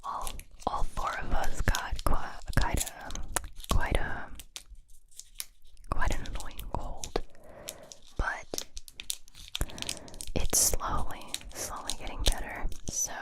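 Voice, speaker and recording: ASMR creator, woman, slow close whisper, congested, unhurried. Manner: slow, unhurried whispering with soft trailing phrases. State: congested from a cold. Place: home room with string lights. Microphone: close binaural mic.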